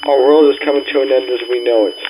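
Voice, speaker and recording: A man's voice: medium pitch, fairly even intonation. Male amateur announcer for mock doomsday alert, stumbling read, mid-range voice, noisy humming recording. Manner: halting, stumbling over words and repeating phrases. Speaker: amateur emergency broadcast announcer playing a president's address.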